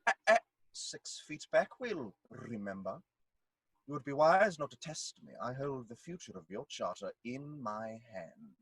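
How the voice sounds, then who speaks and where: A man's voice: medium pitch, big pitch swings, measured pace, fairly bright tone, slightly rough, no room sound, heavily acted. A man acting a menacing, haughty Puritan lord in an audio play, speaking formally and with condescension. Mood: cold, commanding.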